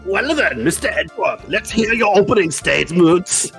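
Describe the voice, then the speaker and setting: A man's voice: high voice, lively intonation, normal speed, creaky, a bit bright, soft background music, heavily acted. Man putting on a pompous old judge, muddled European accent. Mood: solemn. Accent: exaggerated mixed European. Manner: theatrical, formal. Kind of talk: live fan dub of a visual novel game.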